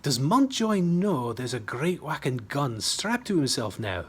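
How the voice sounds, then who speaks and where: A man's voice: medium pitch, very animated, a little slow, breathy edge. A man voicing a jaunty British First World War pilot from a storybook, breezy and full of casual bravado. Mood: puzzled. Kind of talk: storybook character voice.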